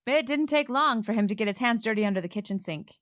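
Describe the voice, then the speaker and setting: A woman acting a guarded, headstrong young lawyer in a romantic radio play, brisk and wry. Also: a little high, expressive, close to an everyday voice. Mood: wry narration.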